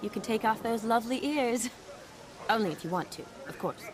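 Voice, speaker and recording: An actress playing a composed young woman with a gentle and wry manner. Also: a little high, brisk, a crowd in the background, natural voice. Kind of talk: video game cutscene acting.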